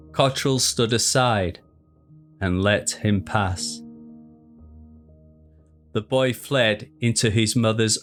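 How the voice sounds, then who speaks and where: Slow, measured male storyteller over music. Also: mid-range voice, fairly bright tone. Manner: measured, deliberate delivery with dramatic pauses. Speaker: narrator. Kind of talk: dramatic scripted storytelling of a historical crime tale.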